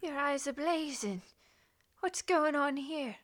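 A woman's voice: high voice, slow, slight background noise. An actress playing a nosy, feisty neighbour woman in a horror radio drama, blunt and colloquial. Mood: suspicious.

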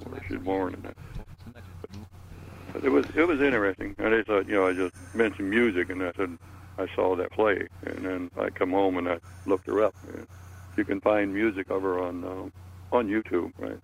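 A man's voice: low voice, creaky, a little hiss or hum, rough recording. An older man chatting casually and telling stories in a rambling way, often repeating his words and phrases. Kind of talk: casual group chat, storytelling.

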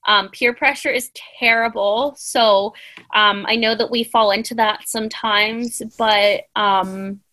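A woman's voice: fairly high pitch, fairly even intonation, takes it slowly, sharp tone, rough recording. A woman in her late twenties co-hosting a casual chat, giving earnest, rambling advice with many ums. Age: 20s. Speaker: podcast co-host.

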